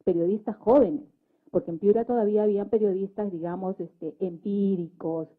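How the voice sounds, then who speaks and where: A woman's voice: mid-range voice, steady tone, normal speed. A woman with a Peruvian accent speaking at a public debate, explaining methodically and often adding tag questions. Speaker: government social communicator, panel speaker. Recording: thin phone-like sound.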